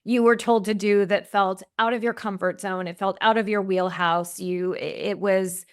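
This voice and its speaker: Woman podcast host, conversational, mid-pitched, reflective. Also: steady tone, normal speed, clear, resonant tone. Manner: reflective, ends points with "right?". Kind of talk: conversational interview.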